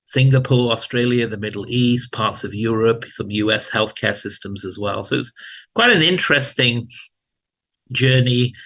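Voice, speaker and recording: A man, telling his career story as an interview guest in a relaxed way with dry humor. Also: on the low side. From England. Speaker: guest, hospital chief information officer. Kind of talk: casual interview talk.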